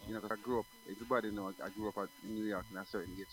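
Rambling relaxed male singer, quick. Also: fairly low pitch, low-quality recording. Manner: relaxed, rambling with many restarts. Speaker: guest singer. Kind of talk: casual interview.

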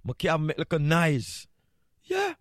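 A Jamaican man talks casually as a morning radio host, his voice sitting a little high. He is playful and candid, often repeating a phrase to drive it home. The sound is dry, with very little room on it. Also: huge pitch swings, slow pace, dry recording.

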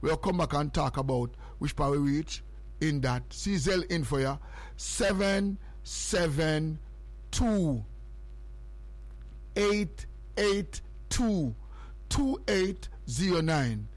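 A man's voice: on the high side, lively intonation, slow pace. A man with a Jamaican accent hosting a morning radio show, repeating phrases and numbers over and over to hype his listeners. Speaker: radio host.

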